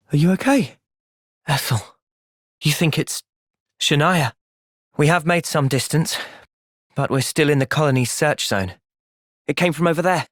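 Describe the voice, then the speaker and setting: A man voicing a thoughtful, loyal young hero in a video game, with an earnest, measured delivery. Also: a little high, fairly bright tone, no room sound, studio quality. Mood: earnest, reflective. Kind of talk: video game cutscene voice acting.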